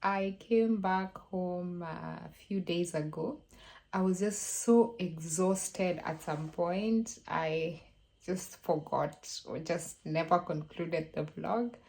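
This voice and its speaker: A woman talking casually in a travel vlog, unhurried and soft-spoken, with light laughs between phrases, recorded on a phone held at arm's length. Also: fairly low pitch. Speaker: travel vlogger.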